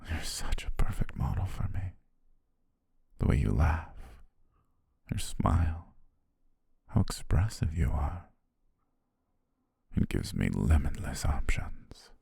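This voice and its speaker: Very deep, gravelly, hushed obsessive stalker played by a man. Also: sing-song, no room sound, whispered, studio quality, heavily acted. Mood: adoring, obsessive. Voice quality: very deep, husky, creaky, breathy. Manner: slow, hushed, lingering pauses. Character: obsessive, menacing yandere male photographer stalking his beloved. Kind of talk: slow, intimate ASMR roleplay.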